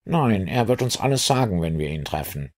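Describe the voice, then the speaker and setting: A man voicing a brisk, excitable male police inspector in an audiobook, urgent and emphatic. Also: low-pitched. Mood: earnest, informative. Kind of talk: audiobook character dialogue.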